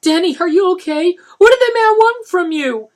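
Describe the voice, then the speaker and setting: A woman's voice: extremely high pitch, expressive, normal speed, pitched above the performer's own voice. A woman voicing a frantic, screeching young woman. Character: frantic young woman, terrified for her friend.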